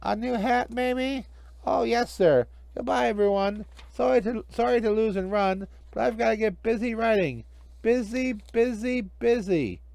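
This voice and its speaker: A man's voice: extremely high pitch, measured pace, vocal fry, a little mellow, no echo, pitched above the performer's own voice, exaggerated voice. A man voicing a greedy, whiny, comically villainous magician in a children's storybook, scheming and wheedling. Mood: whining, pleading.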